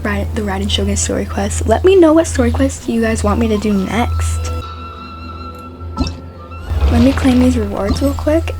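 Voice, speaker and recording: A woman doing casual gameplay commentary as a streamer, talking informally and directly to her viewers. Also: on the high side. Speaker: gaming streamer. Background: game music.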